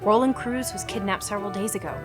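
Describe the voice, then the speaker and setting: A woman's voice: medium pitch, fast talker, over music, lightly acted. A woman playing an earnest, wry superheroine in a radio play, with a determined manner. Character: earnest, wry young superheroine, bulletproof and dutiful.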